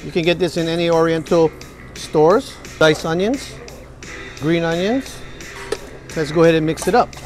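A man's voice: on the high side, normal speed, long pauses, soft background music. A man in his forties, a chef demonstrating dishes in a restaurant kitchen and hyping the food to his viewers. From Hawaii. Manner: upbeat, hypes the food to viewers. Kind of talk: cooking demonstration.

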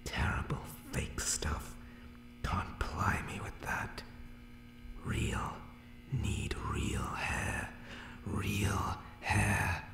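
A man voicing an unhinged, hair-obsessed monster barber in a horror ASMR roleplay, muttering broken, repetitive phrases in a creepy, pleading, breathy whisper. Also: fairly low pitch, drawn-out words, roomy sound, full character voice. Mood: hungry, creepy.

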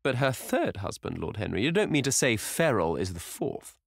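A man voicing an excitable elderly society hostess who gushes and scolds in high exclamations. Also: moderate pace, vocal fry, dry recording, clean studio sound, exaggerated voice. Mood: incredulous. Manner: gushing, scolding, shrill exclamations.

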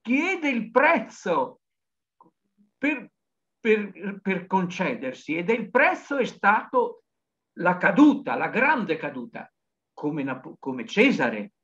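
Dramatic older man, swinging pitch. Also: huge pitch swings, slow, fairly bright tone. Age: older, 60s to 70s. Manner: dramatic, declamatory, emphatic. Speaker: novelist guest. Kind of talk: impassioned spoken reflection in an interview. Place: book-lined study indoors, on a video call. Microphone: computer video-call microphone. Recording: dull lo-fi video-call audio.

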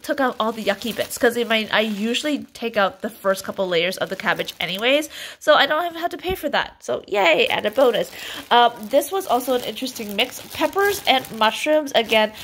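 A woman's voice: a little high, fairly bright tone. A woman in her thirties from Canada, chatting in an upbeat way in her home kitchen as she shows her groceries. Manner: chatty and upbeat, lots of "um" and "I mean". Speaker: vlogger showing a grocery haul. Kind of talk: casual chat.

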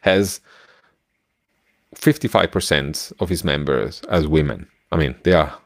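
Low-voiced middle-aged man, halting delivery. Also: no room sound, studio quality. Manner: halting, searching for words. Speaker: podcast co-host. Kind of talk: casual podcast discussion. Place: small room at home, indoors, video call. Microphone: close podcast microphone with headset.

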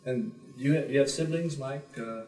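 A man's voice: medium pitch, fairly quick, darkish tone, soft background music. An older man, an academic, asking informal, hesitant interview questions. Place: small sitting room, indoors. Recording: roomy, distant camcorder sound.

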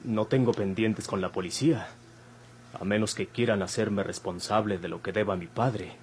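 A Mexican actor playing an earnest young hospital patient in a classic radio soap, polite, measured and guarded. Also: male voice, fairly low pitch, fairly even intonation, darkish tone, lightly acted. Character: earnest young male patient, a bandit's son. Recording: old noisy radio drama recording, hum.

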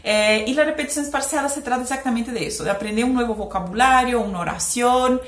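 A woman's voice: on the high side, fast. A young woman from Brazil, speaking with a Brazilian Portuguese accent, explains language-learning tips in a chatty, casual vlog with many "eh" fillers. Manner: chatty, explains with hand gestures, frequent "eh" fillers. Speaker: language vlogger. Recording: echoey room.

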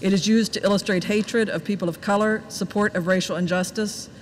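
A woman in her fifties, a village trustee, reading public comments aloud in a steady, plain voice at a desk microphone in a large meeting hall. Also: mid-range voice, a little fast. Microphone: desk microphone at some distance. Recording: reverberant hall, faint background noise.

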